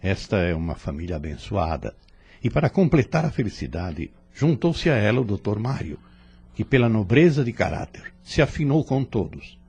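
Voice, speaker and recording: Man acting a wise, deep, husky spirit mentor. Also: big pitch swings, a little mellow, heavily acted. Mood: tender, reverent. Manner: solemn, didactic, measured. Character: wise, serene male spirit instructor guiding a learner. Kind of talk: scripted audio drama. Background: music.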